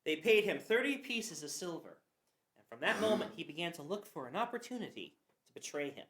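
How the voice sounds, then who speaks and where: A woman's voice: lowish voice, very animated, brisk. A woman narrating scripture for a church passion play reading. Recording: roomy echo.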